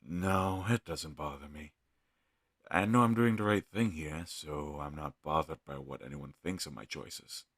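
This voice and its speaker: A man playing an earnest, kind fantasy healer in an audio roleplay, speaking in a gentle, hesitant, slightly husky voice. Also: very deep voice, a little slow, no echo, studio quality, exaggerated voice. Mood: resolute. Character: earnest, kind young male fantasy healer, self-doubting.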